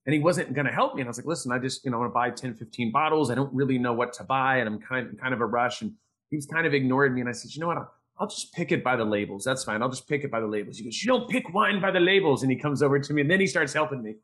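A man's voice: mid-range voice, rapid-fire, a bit bright. A man hosting a podcast, talking in an informal, effusive and wordy way. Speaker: podcast host. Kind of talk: casual interview chat.

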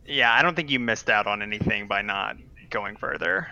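A man's voice: lowish voice, moderate pace, sharp tone, no echo, highs cut off. A man co-hosting a podcast, chatting casually and giving opinions in a hedging, conversational way. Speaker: podcast host. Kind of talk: casual discussion of manga.

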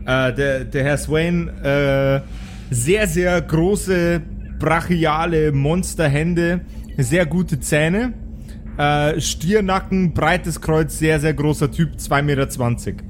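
A man's voice: fairly high pitch, slow. A man narrating as game master in an improvised tabletop audio play, feeling his way through descriptions over a noisy recording. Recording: clearly noisy background.

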